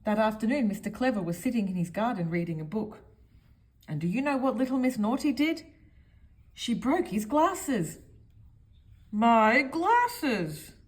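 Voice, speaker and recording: Female storyteller for a children's picture book, expressive, highly varied intonation, speaks straight to young listeners. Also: moderate pace.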